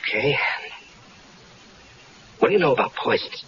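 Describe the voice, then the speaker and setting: An actor playing a wary male lover drawn into a murder plot in a 1970s radio drama, hesitant and doubtful, with halting speech. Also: medium pitch, animated, a bit bright, lightly acted. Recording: dull old radio broadcast with faint hiss.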